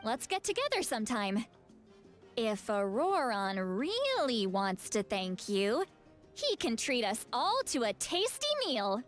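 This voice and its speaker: A woman voicing a feisty, proud grandmother in a video game, brash, scolding and teasing with gruff affection. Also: on the high side, big pitch swings, slow, faint music behind. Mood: relaxed.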